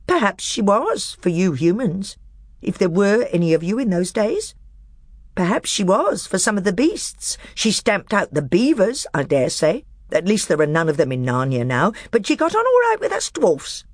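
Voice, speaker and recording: A woman's voice: mid-range voice, very animated, moderate pace, no room sound, studio quality, voice pushed up. A woman reading aloud, voicing a scheming, bitter male dwarf who speaks coldly and snaps. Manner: cold, snapping, rising to a scream.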